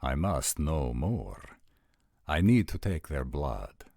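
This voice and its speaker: A man playing a formal, suspicious vampire nobleman with a measured, deliberate manner in an audio roleplay drama. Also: rumbling low, big pitch swings, vocal fry, background noise, full character voice. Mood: suspicious, demanding.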